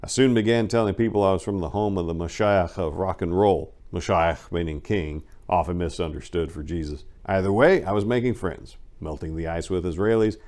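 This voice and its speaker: Male storyteller, slightly low voice, highly varied intonation, for a theatrical first-person narrative read with emphasis and measured pauses. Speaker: host and story reader. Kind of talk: dramatic first-person memoir reading.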